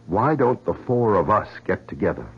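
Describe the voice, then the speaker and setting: A troubled, idealistic scientist in an old radio play. His husky male voice swings widely in pitch as he makes earnest, theatrical appeals. The recording is dull and vintage. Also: sing-song, normal speed, full character voice. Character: anguished, idealistic male weapons scientist, pleading and defiant. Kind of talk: 1970s radio drama acting.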